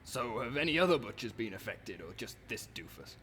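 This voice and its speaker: Over light background noise, a man acts out an earnest professor explorer for a comic puppet show. He stammers when flustered and turns exasperated easily, with a medium-pitched voice. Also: big pitch swings, brisk, a bit bright. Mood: earnest, dry.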